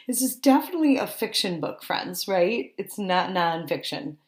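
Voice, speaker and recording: Slow-paced woman reading to young children. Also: lowish voice, big pitch swings. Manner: childlike-simple, questioning, exclaiming with gasps and asides. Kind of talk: picture-book read-aloud with questions and commentary.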